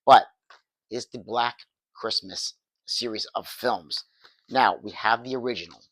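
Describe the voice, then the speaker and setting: A man talking casually to camera, his voice worn and hoarse because he is getting over an illness. He rambles with eager energy, often stopping and restarting his sentences. The sound is dry and close, with almost no room echo. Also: fairly low pitch, unhurried, a bit bright. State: losing his voice, recovering from illness. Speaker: movie reviewer.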